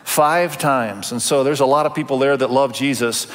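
A middle-aged male pastor raised in Indonesia, preaching a Sunday sermon in a relaxed, conversational way with many fillers and restarts. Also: medium pitch, normal speed. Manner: warm conversational delivery with frequent fillers and restarts. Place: large church auditorium. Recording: long church reverb.

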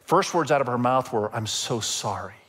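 A man who grew up in Indonesia, preaching a sermon as pastor in a conversational storytelling way, with emphatic pauses. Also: mid-range voice, expressive, a bit bright, slight background noise. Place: church sanctuary. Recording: long hall reverb.

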